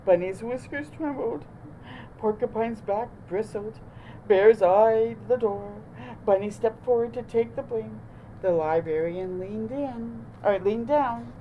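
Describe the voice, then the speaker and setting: A woman narrating a children's picture book aloud in an expressive, deliberate way. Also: fairly high pitch, muffled recording, slight background noise. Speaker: storytime host reading aloud.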